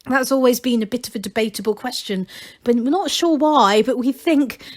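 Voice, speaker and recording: A woman's voice: fairly high pitch, normal speed, darkish tone, pitched above the performer's own voice, natural voice. A woman voicing a devout, easily upset male gnome priest in a storybook, pleading and flustered.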